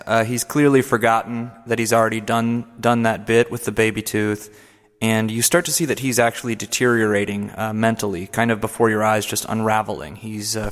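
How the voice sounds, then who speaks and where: A man's voice: fairly low pitch, fairly bright tone, big-room sound. A man narrating a comedic radio play in a hesitant, filler-heavy, dry manner. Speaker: narrator and host.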